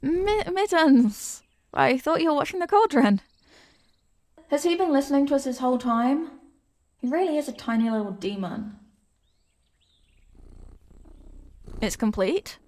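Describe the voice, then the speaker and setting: A young apprentice witch, played by a woman, chats to the listener in an ASMR roleplay. Her voice is on the high side, eager and expressive, with a kindly, teasing manner. It is recorded close and dry, with no room around it. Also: normal speed, no echo. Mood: excited. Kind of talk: ASMR character roleplay, scripted.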